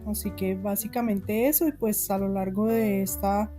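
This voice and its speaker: A woman's voice: medium pitch, steady tone, moderate pace, warm tone, faint music behind. A Colombian woman in her forties with a Bogotá accent, a guest writer talking casually in an interview, chatty with frequent laughs and fillers. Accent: Bogotá Colombian Spanish. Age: 40s.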